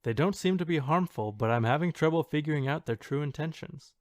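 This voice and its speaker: A man's voice: on the high side, animated, fairly quick, a little mellow, no echo, studio quality. A man co-hosting a casual podcast, chatting and reading posts aloud in an amused, sometimes hesitant way. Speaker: podcast co-host.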